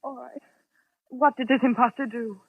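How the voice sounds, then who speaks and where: An actress playing a nervous, hesitant young secretary in a 1940s radio suspense drama. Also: female voice, a little high, steady tone, normal speed, slightly rough, dry recording. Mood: anxious. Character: anxious young female secretary caught in a mystery. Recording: muffled old radio drama, phone-like, dry.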